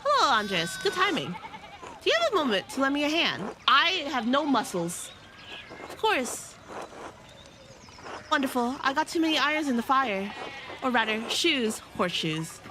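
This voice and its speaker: A woman's voice: high-pitched, animated, normal speed, background noise. A woman reading aloud the lines of a busy village blacksmith in a medieval game, in her own nasal voice. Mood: brisk.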